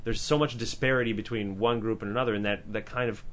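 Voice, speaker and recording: A man with a powerful voice co-hosting a podcast in casual discussion, talking in an animated way with swearing, restarts and asides. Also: on the low side, quick pace. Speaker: podcast co-host.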